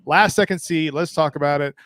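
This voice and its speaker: A man's voice: on the high side, a little fast, a bit bright, no room sound. A man hosting a battle rap stream, upbeat, plugging channels and asking his guest questions. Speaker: host. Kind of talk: livestream podcast hosting.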